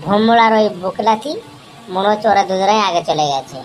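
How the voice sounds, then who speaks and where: A woman's voice: medium pitch, brisk, soft background music, natural voice. A woman voicing an earnest young animal pupil in an amateur cartoon comedy. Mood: worried, muttering.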